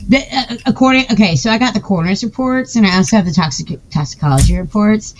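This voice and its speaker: A woman's voice: mid-range voice, quick pace, a little mellow, lo-fi sound. A woman hosting a casual chat, talking emphatically and insistently, often repeating her points.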